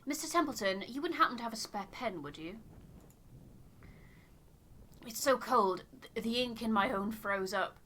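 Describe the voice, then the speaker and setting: Woman doing a polite, chilly expedition writer, raised pitch. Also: animated, fairly quick, sharp tone, slight background noise. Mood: politely pleading. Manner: courteous, formal.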